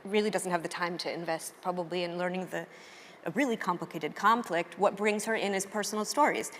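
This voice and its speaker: An American woman with a lowish voice speaks on a panel in a reverberant hall. She sounds conversational, qualifying and rephrasing her points as she thinks them through. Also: steady tone, brisk. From United States. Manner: hedging, thinking aloud. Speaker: journalist and panelist. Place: live panel event in a hall. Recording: echoey hall, faint background noise.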